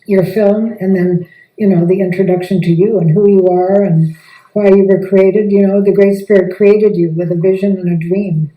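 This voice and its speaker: A woman's voice: medium pitch, fairly even intonation, moderate pace, muffled recording. A woman interviewing in an earnest, encouraging manner. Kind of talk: interview questions and encouraging commentary. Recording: echoey room, distant mic.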